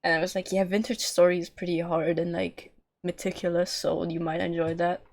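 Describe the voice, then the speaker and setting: Casual, slightly low-voiced woman streamer. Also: level tone, fairly quick, a little mellow. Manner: informal, rambling. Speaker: game streamer. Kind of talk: casual livestream chat. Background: game music.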